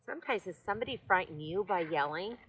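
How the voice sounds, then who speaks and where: An older woman reads a children's story aloud, gently pausing to talk to her young listeners. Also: on the low side, animated, slight background noise. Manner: gentle, unhurried, addressing children.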